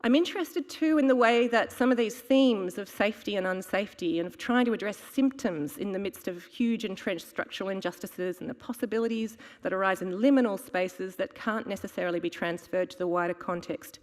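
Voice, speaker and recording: A woman presenting prepared research at a conference, reading in a measured, formal way. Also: medium pitch. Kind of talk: reading a prepared academic talk. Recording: long hall reverb.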